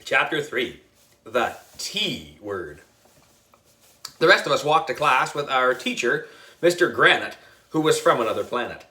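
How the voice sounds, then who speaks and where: Male children's audiobook reader: playful and exaggerated, very lively pitch, bright delivery. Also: a little slow, pauses often. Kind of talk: animated children's story narration.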